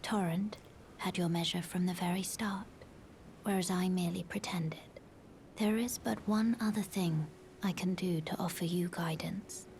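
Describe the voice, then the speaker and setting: A woman playing a solemn young bodiless maiden in a fantasy video game, speaking in a hushed, measured, archaic way. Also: fairly low pitch, fairly even intonation, a little hiss or hum, lightly acted. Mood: formal, gentle.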